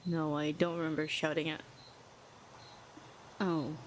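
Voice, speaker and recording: A woman's voice: low-pitched, level tone, measured pace, slight background noise. A woman playing a proud, lonely telepathic villain who talks in a sardonic, cool and needling way. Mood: guarded. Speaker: audio roleplay performer. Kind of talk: scripted audio roleplay.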